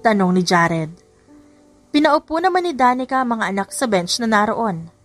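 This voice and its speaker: A woman's voice: a little high, lively intonation, moderate pace, soft background music. A woman audiobook narrator reading a scripted story.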